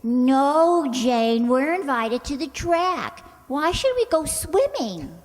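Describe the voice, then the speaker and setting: A woman's voice: sits high, sing-song, slow, long reverb tail, exaggerated voice. A woman playing a naive, eager, literal-minded young woman in an old-time radio comedy. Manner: earnest, obliviously literal.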